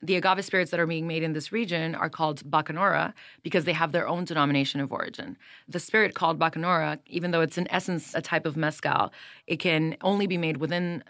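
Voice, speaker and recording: A woman who runs a mezcal brand, answering interview questions in a measured, explanatory way with small restarts. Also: sits low, steady tone, fairly bright tone, no echo, studio quality.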